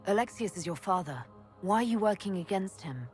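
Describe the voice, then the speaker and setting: An actress playing a guarded, level-headed heroine in a video game, direct and questioning in manner. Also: female voice, mid-range voice, lively intonation, a little fast, a little mellow, lightly acted. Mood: skeptical. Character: composed, guarded heroine leading an inquisition. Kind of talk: video game character acting. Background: music.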